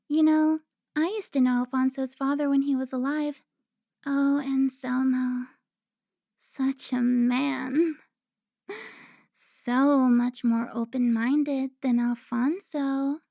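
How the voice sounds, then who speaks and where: A woman playing a seductive, haughty vampire informant in an audio drama, teasing with drawn-out, theatrical delivery. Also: sits high, creaky, warm tone, dry recording, clean studio sound, exaggerated voice. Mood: wistful, provocative. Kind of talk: cinematic audio roleplay acting.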